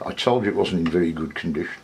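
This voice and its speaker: A man with a husky voice, informally demonstrating old audio equipment in a hesitant, conversational way. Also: low voice, racing, slight background noise. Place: indoor room with record player. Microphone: clip-on mic, not near enough.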